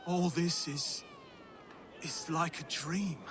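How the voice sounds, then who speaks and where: An actor playing an earnest, merciful Saxon king-to-be in a video game, speaking formally and gently. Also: fairly high pitch, fairly even intonation. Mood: gentle, earnest. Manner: formal, pleading, measured speech. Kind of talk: video game cutscene acting. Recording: clear background noise under dialogue.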